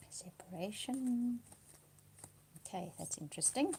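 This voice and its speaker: A woman doing a monthly tarot reading, slightly hoarse from a cold, speaking softly and reassuringly, dropping to a whisper as she reads the cards. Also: medium pitch, slight background noise. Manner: soft-spoken, often drops to a whisper reading cards; reassuring, often checks in with "okay?". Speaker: tarot card reader.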